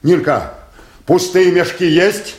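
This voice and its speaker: An actor playing a gruff, bossy older boatman who barks folksy orders in a Soviet radio play. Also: high voice, slow, echoey room, a little hiss or hum. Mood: brusque, commanding. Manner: brusque, folksy, barking orders.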